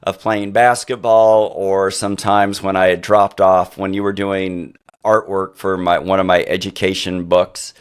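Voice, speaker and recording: A middle-aged man hosting a podcast interview, a former teacher who tells rambling, animated stories full of restarts. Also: sits low, a little slow, hardly any pauses, clear, resonant tone. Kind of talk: conversational interview.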